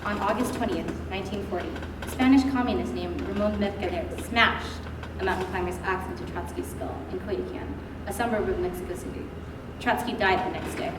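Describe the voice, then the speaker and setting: An actress on stage playing the gentle, matter-of-fact wife of a doomed revolutionary, earnest and gently correcting. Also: female voice, mid-range voice, fairly quick, close to an everyday voice. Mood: matter-of-fact reading. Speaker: stage actor. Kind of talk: comic stage acting. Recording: echoey hall from far off, noisy.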